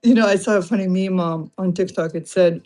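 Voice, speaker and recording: A woman's voice: fairly low pitch, fairly quick, mellow. Chatty Lithuanian-accented woman livestreaming. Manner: chatty, greets viewers, laughs often. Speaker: livestream seller host. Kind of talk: casual livestream chat. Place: home room, sitting on carpet.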